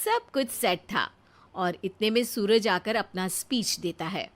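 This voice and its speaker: A woman narrating a scripted comic story in a wry, expressive storyteller's manner. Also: mid-range voice, a little slow.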